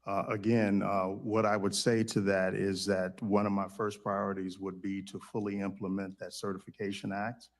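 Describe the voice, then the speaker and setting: A man's voice: on the low side, level tone, moderate pace, hardly any pauses. An American man giving formal testimony as a nominee, hesitant, guarded and polite.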